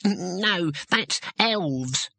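A man's voice: sits high, animated, very slow, gravelly, pushed and bright, dry recording, voice pushed up, full character voice. A man voicing a tiny, wary male gnome who explains things in a hesitant, matter-of-fact way.

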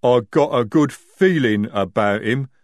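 A simple, bashful female troll, acted by a male narrator for a storybook. She talks slowly in plain, ungrammatical phrases, in an altered timbre. The sound is very dry. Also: medium pitch, no room sound, pitched above the performer's own voice, natural voice. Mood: bashful, stubborn. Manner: slow, plain-spoken, ungrammatical.

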